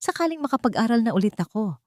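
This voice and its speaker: A woman voicing a humble, gentle young female caregiver, polite and hesitant, in a romance audio story. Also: a little high, big pitch swings, fast talker, dry recording, studio quality, voice pushed up. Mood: shy.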